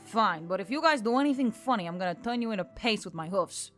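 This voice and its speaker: A woman voicing a gruff, brash female centaur in a story, with a blunt, slangy manner and a hot temper. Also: mid-range voice, expressive, fast talker, a bit bright, soft background music, close to an everyday voice. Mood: defensive, growling.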